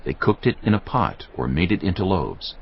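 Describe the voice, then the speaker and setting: A man's voice: deep voice, sing-song, moderate pace, creaky, husky. A man narrating a dramatized scripture audiobook in a solemn storytelling manner. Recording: dull lo-fi sound with music.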